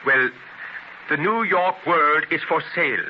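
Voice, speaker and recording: An actor playing an ambitious, intense immigrant newspaper publisher in earnest, declamatory 1930s radio drama style. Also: male voice, a little high, lively intonation, a little slow. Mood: restless, doubting. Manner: earnest, declamatory, theatrical delivery. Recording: noisy, dull 1930s radio transcription.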